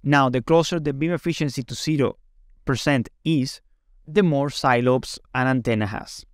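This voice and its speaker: Man, company presenter, medium pitch, explanatory webinar delivery, frequent tag questions, close dry recording. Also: moderate pace, no room sound. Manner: explanatory, often tags sentences with "right?".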